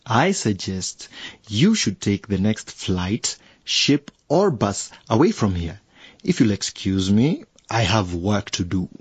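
Radio drama: irritable older bureaucrat, curt and officious, low husky voice, dry studio. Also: male voice, very animated, takes it slowly, no echo, heavily acted. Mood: indignant, scornful. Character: brusque older male electoral commissioner, dismissive and irritable.

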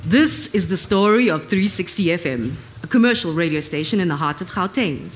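A woman's voice: lowish voice, animated, brisk, a little hiss or hum. A woman reading scripted narration for a live radio drama.

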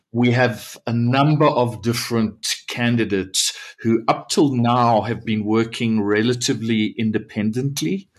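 A man with a low voice interviews a guest. He phrases his questions in a measured, slightly halting way, restarting now and then. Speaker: interviewer, academic.

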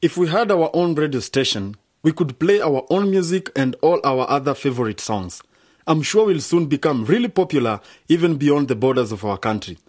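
An actor playing an eager, ambitious young man in a youth club, earnest and excitable, in a radio drama. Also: on the high side, normal speed.

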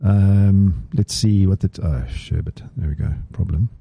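A man's voice: low voice, a little slow, vocal fry, mellow, slightly rough. A man co-hosting a studio podcast in casual chat, teasing his partner in playful banter. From South Africa. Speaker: podcast co-host. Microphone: studio mic.

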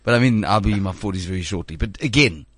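A man's voice: lowish voice, fast talker, dry recording. A man in his thirties hosting a show, talking in a rambling way with many restarts. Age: 30s. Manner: rambling, stumbling restarts, animated. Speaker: show host. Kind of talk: casual studio chat.

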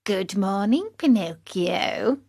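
Woman as a slow-talking sly storybook fox. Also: mid-range voice, lively intonation, dry recording, studio quality. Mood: courteous. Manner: slow, drawn-out, ingratiating. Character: sly, courteous male lame fox, a smooth swindler.

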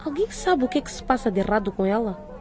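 A woman's voice: a little high, big pitch swings, fast, darkish tone. A woman playing a firm, inquisitive middle-aged school director in a radio drama, authoritative and probing. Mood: questioning.

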